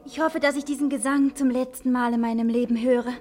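An actress playing a self-assured, determined wife in a crime serial, brisk, sharp-tongued and teasing. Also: female voice, high voice, steady tone, faint music behind, lightly acted. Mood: cool, pointed. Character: self-assured, determined wife hunting her fugitive husband.

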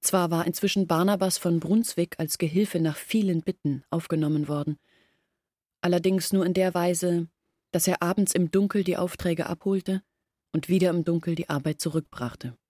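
A woman's voice: fairly low pitch, steady tone, normal speed, warm tone, dry recording, studio quality, close to an everyday voice. An actress playing a poor village woman confessing her family's hopeless decline in a literary radio drama monologue. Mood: sober, burdened. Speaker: narrating character in an audio drama. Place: dry studio.

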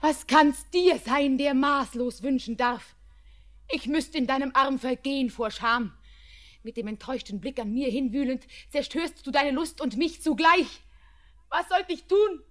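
Young bride, tender and anguished, talks slow, pitch sits a bit high, whispers a lot. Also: female voice. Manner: shifts between hushed whispers and impassioned outbursts. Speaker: lead actress. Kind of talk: classic radio play acting.

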